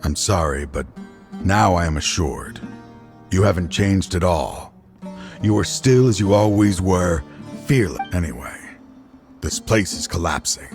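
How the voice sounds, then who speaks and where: A man playing a remorseful, resolute father and dying official in a video game, speaking gravely and haltingly. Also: low-pitched, expressive, darkish tone. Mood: weary, regretful. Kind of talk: dramatic video game dialogue. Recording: game music behind the voice.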